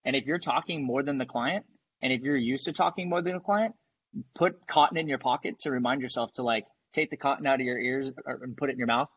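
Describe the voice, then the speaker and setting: A man in his thirties from San Diego talks through earbuds on a video call from his dining room. He speaks at an ordinary pitch and explains things briskly and matter-of-factly, with a slightly dull, lo-fi sound. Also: fairly bright tone, a little hiss or hum. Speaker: guest, team leader. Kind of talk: casual video-call interview.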